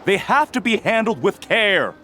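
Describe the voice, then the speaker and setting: A man's voice: sits high, big pitch swings, normal speed, pushed and bright, heavily acted. A man playing a fussy, earnest young relic seller in a video game. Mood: indignant. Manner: indignant, hesitant when challenged. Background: music.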